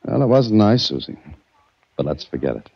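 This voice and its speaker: A man's voice: lowish voice, big pitch swings, moderate pace, creaky, breathy edge, heavily acted. An actor playing a wry, easygoing male adventurer who chuckles often, in a 1940s radio drama. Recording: dull old radio recording.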